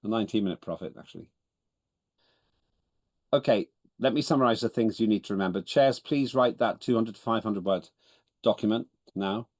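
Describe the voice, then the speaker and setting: A man's voice: lowish voice, a little fast, pauses often, no echo. A man whose first language is Estonian, chairing an online parliamentary meeting in a businesslike way, often correcting himself with a dry humor. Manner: businesslike, self-correcting, wry. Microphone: video call.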